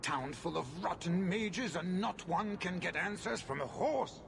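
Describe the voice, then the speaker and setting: Game casting: greedy mine boss, high-pitched, slow theatrical lamenting, noisy ambience behind. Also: male voice, animated, vocal fry, heavily acted. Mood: distraught. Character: melodramatic, greedy, self-pitying male mine owner. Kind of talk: dramatic video game dialogue.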